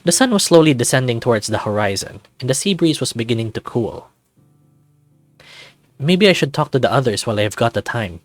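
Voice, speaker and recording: A man's voice: mid-range voice, sing-song, a little fast, no room sound, soft background music. A man reading visual novel narration and game text aloud.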